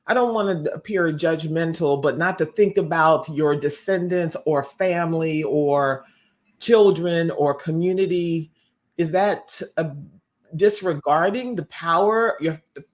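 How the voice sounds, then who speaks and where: An interviewer hosting the conversation, asking thoughtful questions with careful, measured phrasing. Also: medium pitch. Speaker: interviewer, host.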